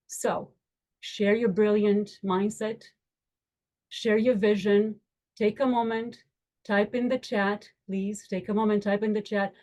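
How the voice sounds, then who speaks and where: Woman, from Romania, mellow warm tone, earnest, uplifting, video call. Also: medium pitch. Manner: earnest, uplifting, slow and deliberate with emphatic lists. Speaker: attorney and education board member. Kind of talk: speaking at a virtual community meetup.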